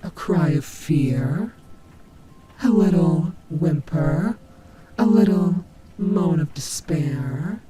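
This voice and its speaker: A woman's voice: low voice, animated, noisy background, exaggerated voice. A woman voicing a menacing, taunting nightmare animatronic rabbit in a scripted audio roleplay, with a mocking, theatrical delivery. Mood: mocking, gloating. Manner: slow, mocking, theatrical drawl with whispered asides.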